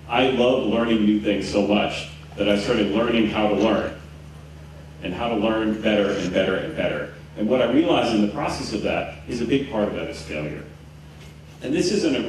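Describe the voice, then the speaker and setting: A man in his forties from Houston gives a loose, joking festival talk, stumbling over restarts and fillers. His voice sits fairly low and comes from a distance, washing around a big echoing tent. There's a light hiss of ambience underneath. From Houston, Texas. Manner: chatty, jokey, lots of fillers and restarts. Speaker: conference speaker. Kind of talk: live conference talk. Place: large tent at an outdoor festival. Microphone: handheld mic. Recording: roomy tent captured from a distance.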